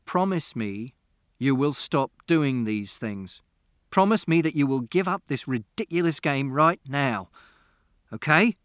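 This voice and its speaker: A short-tempered middle-aged father barks his orders loudly and sternly, as a man reads him aloud. His voice sits at an ordinary male pitch. The sound is dry and close, with no room around it. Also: animated, unhurried, no echo. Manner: loud, barking commands.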